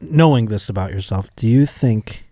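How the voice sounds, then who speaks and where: A man hosting a comedy podcast, chatting casually and asking questions in a halting, rambling way. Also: on the low side, expressive, mellow, no echo, clean studio sound. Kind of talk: casual comedic interview chat.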